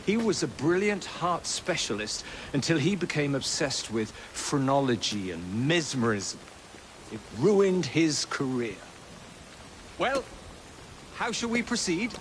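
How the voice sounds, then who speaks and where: A man playing a genteel, thoughtful elderly Victorian scientist in a game, with an English accent and measured, courteous speech. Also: on the high side, big pitch swings, slight background noise. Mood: courteous, reflective. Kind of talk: video game cutscene acting.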